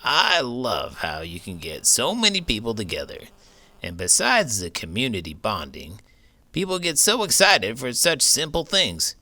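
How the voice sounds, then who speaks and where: A man's voice: medium pitch, huge pitch swings, a little slow, bright, forceful tone, pitched above the performer's own voice, heavily acted. A man voicing a blunt, food-loving old cowboy sidekick in comic banter on a children's show. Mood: opinionated, enthusiastic. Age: older.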